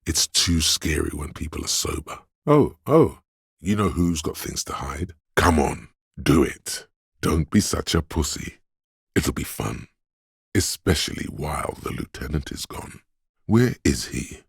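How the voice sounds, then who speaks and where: Gravelly, very deep seductive tempter. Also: male voice, sing-song, a little slow, dry recording, clean studio sound, heavily acted. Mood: coaxing. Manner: coaxing, insinuating, drawling. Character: seductive, hedonistic inner voice of addiction urging indulgence. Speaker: video game voice actor. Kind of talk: theatrical second-person game narration.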